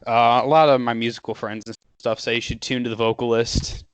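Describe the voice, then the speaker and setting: A man hosting a casual interview, talking excitedly with lots of filler words. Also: medium pitch, a little fast, clear, resonant tone. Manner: enthusiastic, lots of "like" and "dude". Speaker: interview host.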